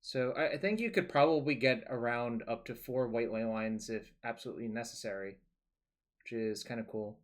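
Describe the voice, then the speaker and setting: A man's voice: medium pitch, moderate pace. Rambling young man streaming a card game. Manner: relaxed, rambling, thinking aloud.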